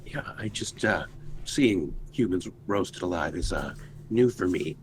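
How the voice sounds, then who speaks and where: Lively low-voiced man chatting at game. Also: a little slow, a little hiss or hum. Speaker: tabletop game player. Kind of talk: casual chat.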